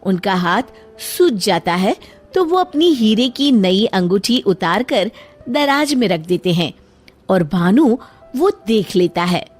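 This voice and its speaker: A woman narrating a children's cartoon story in a steady storyteller's manner. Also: medium pitch, slow pace, soft background music.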